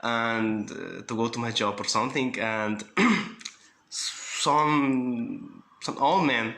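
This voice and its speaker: A Mexican man, a native Spanish speaker, telling a casual vlog story in halting English with many fillers and laughs. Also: mid-range voice, vocal fry. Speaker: vlogger. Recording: roomy echo with loud background music.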